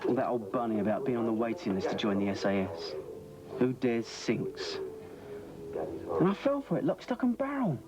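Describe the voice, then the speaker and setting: A man's voice: mid-range voice, very animated, normal speed, natural voice. An actor with a British accent playing a harried male radio DJ who grumbles sarcastically and feels sorry for himself. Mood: rueful. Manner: sarcastic, self-pitying grumbling. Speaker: actor in a TV drama. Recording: dialogue under loud music.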